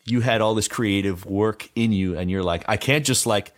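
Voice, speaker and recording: A man hosting a casual interview, speaking with enthusiasm in halting, conversational phrases. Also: on the low side. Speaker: interview host. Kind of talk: casual chat.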